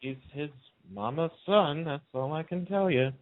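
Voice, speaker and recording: A man's voice: on the high side, normal speed, no echo. A man chatting casually on a radio panel, opinionated and emphatic, repeating himself to make a point. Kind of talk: casual chat about TV soaps. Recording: muffled lo-fi phone-line radio sound.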